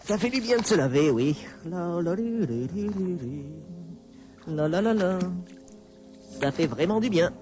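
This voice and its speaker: A man's voice: high-pitched, animated, measured pace, over music. A man acting a chatty, carefree mechanic in a radio drama, exclaiming and repeating himself as he talks to himself. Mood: carefree, humming. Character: chatty young male mechanic, carefree, delighted by his luck.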